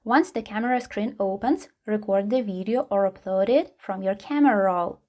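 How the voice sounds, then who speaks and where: A woman's voice: mid-range voice, normal speed, mellow. Woman narrating a scripted how-to guide. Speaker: tutorial host.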